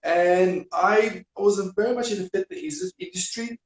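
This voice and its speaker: A man with a Serbian accent, speaking as an energetic guest in a casual live-stream interview, with non-native grammar and repetition. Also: sits high, unhurried. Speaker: business guest. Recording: echoey room.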